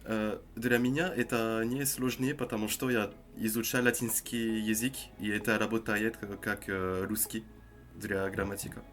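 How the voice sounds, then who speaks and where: A man's voice: fairly low pitch, little pitch movement, a bit bright, soft background music. A man, speaking a learned language hesitantly over a video call. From France. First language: French. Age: 20s. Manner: halting, hesitant with many fillers.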